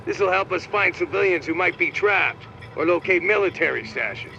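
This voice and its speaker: Middle-aged New cop captain, gravelly creak, blunt street talk, skeptical, game cutscene, faint noise behind. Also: male voice, high-pitched, animated, moderate pace, pushed and bright, muffled recording, heavily acted. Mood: pleased, energized. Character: gruff, skeptical New York police captain, grudgingly warm. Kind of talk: video game cutscene acting.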